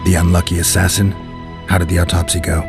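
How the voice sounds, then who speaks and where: An actor playing a weary, sardonic warrior in a video game, with a gravelly voice and a dry, laconic manner. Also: male voice, deep voice, level tone, fast, music in the background. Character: weary, sardonic monster-hunting warrior, gruff adult man. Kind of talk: video game cutscene acting.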